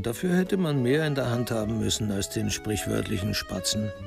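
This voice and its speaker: A man narrating a scripted radio crime drama in a wry, measured storytelling manner. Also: lowish voice, creaky.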